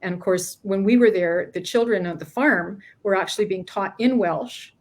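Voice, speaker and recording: A woman's voice: mid-range voice, moderate pace. A middle-aged woman from Canada, a guest author chatting casually in an interview, often restarting sentences and laughing between thoughts.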